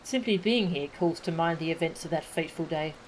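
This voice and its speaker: A woman's voice: sits low, brisk, a little hiss or hum, natural voice, rough recording. A woman reading out a solemn, scholarly man's game lines in formal, old-fashioned speech. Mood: grave. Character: solemn scholarly man speaking archaic English. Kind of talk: reading game dialogue aloud.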